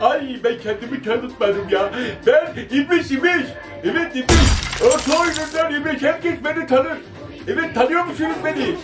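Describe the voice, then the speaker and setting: A cheeky, excitable young servant voiced by a man chatters to children in a very high, squeaky voice, repeating himself as he tells his tale. He sounds a little distant from the mic, in a roomy, echoing space. Music plays clearly behind him. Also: moderate pace. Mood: cheerful. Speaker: children's show entertainer. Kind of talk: playful storytelling to children.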